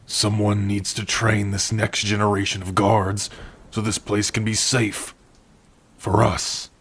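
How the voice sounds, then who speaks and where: A man performs a gentle male orc blacksmith with a low, husky voice. He is tender and protective, talking softly to his partner in an intimate ASMR roleplay. His delivery is very unhurried, with rain behind him. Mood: protective, resolute. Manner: unhurried, tender, with soft sighs and hums. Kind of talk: ASMR roleplay addressed to the listener.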